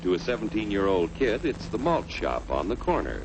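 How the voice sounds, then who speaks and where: A man's voice: on the low side, huge pitch swings, normal speed. An American man narrating an old educational cartoon in an earnest, declamatory way. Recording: dull vintage film soundtrack, loud music. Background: loud orchestral music.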